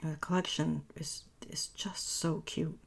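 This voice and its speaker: Woman, raspy, gravelly, husky, tired voice, rambling casual craft tutorial narration. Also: low voice, little pitch movement, unhurried, whispered. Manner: rambling, self-correcting, chatty. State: voice worn from talking all day. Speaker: papercraft tutorial host.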